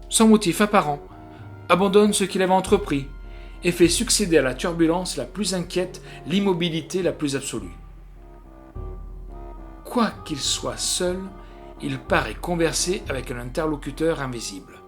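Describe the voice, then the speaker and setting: A man narrating a literary short story in an expressive, theatrical way with deliberate phrasing. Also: fairly high pitch.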